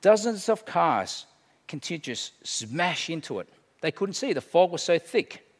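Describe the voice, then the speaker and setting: A middle-aged man preaching a sermon, emphatic, swinging between hushed and loud delivery. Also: fairly high pitch, very animated, moderate pace, slightly rough. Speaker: pastor. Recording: long hall reverb.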